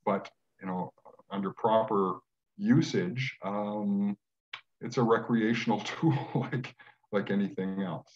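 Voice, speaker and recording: 60s guy from western canada, lowish voice, muffled webcam sound, lots of uh. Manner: measured, many uh fillers. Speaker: guest. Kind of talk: casual video call conversation. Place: home room. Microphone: video call. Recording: dull lo-fi webcam audio.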